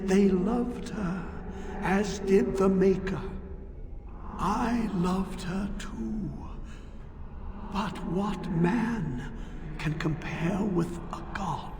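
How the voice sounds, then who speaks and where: Remorseful spirit of a general, very slow and anguished, in an echoing, noisy hall for a fantasy game. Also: male voice, high voice, full character voice. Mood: bitter, anguished.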